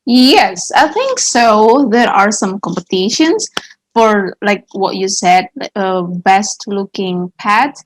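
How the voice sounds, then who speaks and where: A woman's voice: mid-range voice, expressive, slow, low-quality recording. A woman from Indonesia, a teacher asking questions in a friendly, curious way, with lots of small acknowledgements. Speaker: English and Indonesian teacher, co-host. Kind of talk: casual conversational Q&A.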